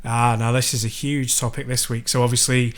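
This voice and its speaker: An English man co-hosting a gaming podcast, with a calm, soothing way of talking and dry humour. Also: mid-range voice, fairly quick. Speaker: podcast co-host. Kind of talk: casual banter.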